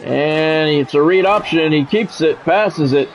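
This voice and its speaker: American man calling football, slightly high-pitched. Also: measured pace, dull audio, slight background noise. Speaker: gaming streamer calling play-by-play of own game; former radio sports play-by-play announcer. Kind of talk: football play-by-play commentary mixed with casual chat.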